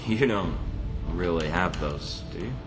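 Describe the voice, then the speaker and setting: A man's voice: low-pitched, a little slow, distant mic, music in the background. An actor playing a cool, wry male field agent in a video game, speaking in a dry, understated way. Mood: wry, casual.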